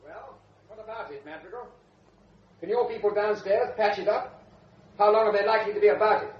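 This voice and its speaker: An actor playing a breezy, flippant society painter in a British radio drama, with jaunty, old-fashioned slangy banter. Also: male voice, high-pitched, fairly quick, natural voice. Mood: brisk, questioning. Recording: muffled, noisy old radio drama recording.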